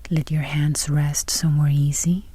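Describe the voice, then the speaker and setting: A woman guiding a meditation in a soft, breathy near-whisper, speaking gently and soothingly. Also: low-pitched, level tone, normal speed, no echo. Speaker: meditation guide. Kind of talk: guided meditation.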